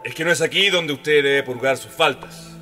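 Stern judge, forceful voice. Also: male voice, on the high side, moderate pace. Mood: exasperated. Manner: formal, authoritative. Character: stern, exasperated male judge. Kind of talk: radio drama acting. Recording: music behind the voice.

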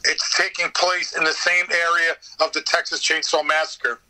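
Very bright, forceful American man chatting. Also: a little high, level tone, normal speed, low-quality recording. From United States. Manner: rambling, profane. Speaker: podcast guest, radio theater voice actor. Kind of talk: casual interview chat, anecdotes.

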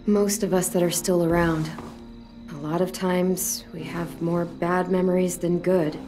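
Casting: actress as weary, protective teen girl survivor, slightly low voice, measured game drama delivery, faint music underneath. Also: little pitch movement, lightly acted. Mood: measured, guarded. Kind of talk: video game drama dialogue.

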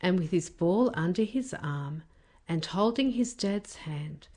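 A woman narrates a children's storybook. Her voice sits slightly low and moves with animated intonation. She reads carefully at an unhurried pace. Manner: careful, expressive reading. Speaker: storybook reader.

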